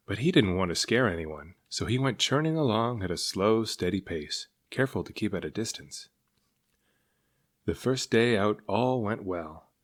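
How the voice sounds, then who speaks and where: A man reading a children's story aloud as narrator, in an expressive manner. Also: on the low side. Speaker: storybook reader.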